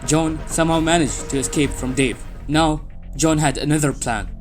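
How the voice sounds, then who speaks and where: A man's voice: on the high side, normal speed. A man reading a scripted story as its narrator. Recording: background music under the voice. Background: music bed.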